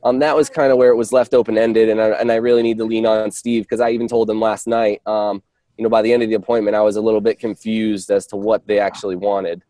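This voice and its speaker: A man working as a real estate agent, giving an informal update on a work call in a hesitant, halting way with false starts. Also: on the low side, little pitch movement, dark tone, no room sound. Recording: dry conference call audio.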